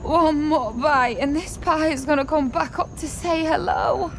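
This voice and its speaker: High-pitched, pleading young woman. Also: a little slow, fairly bright tone, a little hiss or hum. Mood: pleading, queasy. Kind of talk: video game voice acting.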